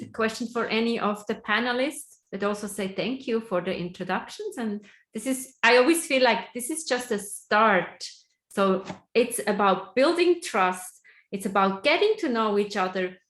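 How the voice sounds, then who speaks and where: A woman's voice: on the high side, fairly bright tone, roomy sound. A woman hosting an online networking meeting, encouraging and upbeat, inviting questions. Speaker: meeting host.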